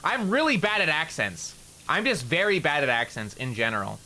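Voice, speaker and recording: A man's voice: a little high, big pitch swings, normal speed, bright, forceful tone, slight background noise. A young man from Canada with a slight rural Canadian accent and a nasal voice, chatting casually and rambling while streaming a game, swearing often and mocking himself. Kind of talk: casual gaming stream chat.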